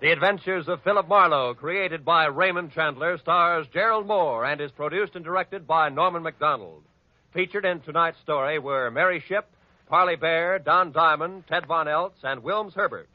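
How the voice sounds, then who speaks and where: A man announcing on a vintage radio broadcast, crisp and promotional in his delivery. His voice sits slightly high, over clear music, and the sound is dull and lo-fi like an archival recording. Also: normal speed, dry recording. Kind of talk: old-time radio announcing.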